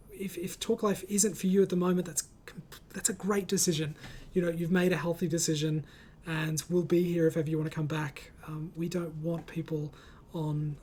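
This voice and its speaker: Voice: mid-range voice, warm tone, a little hiss or hum. A host of a casual online group chat, reading out comments and speaking in an encouraging, reassuring way over a voice call.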